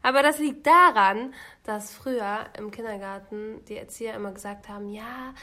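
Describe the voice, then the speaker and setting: A woman's voice: on the high side, normal speed, sharp tone. A young woman with a cold, co-hosting a podcast and chatting at length with many restarts. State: has a cold. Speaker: podcast co-host. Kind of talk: casual chat.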